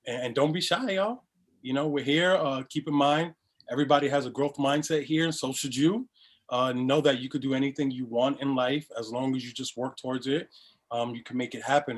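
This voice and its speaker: A man opens an online session as host, speaking casually with plenty of ums. His voice stays mid-pitched and easygoing. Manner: relaxed, upbeat, many fillers. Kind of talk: casual welcome and introductions.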